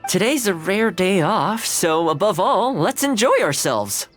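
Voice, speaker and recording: An actor playing a friendly, easygoing young male retainer in a video game, talking in a relaxed, chatty way. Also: sits high, measured pace, faint music behind, exaggerated voice. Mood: cheerful. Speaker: game character.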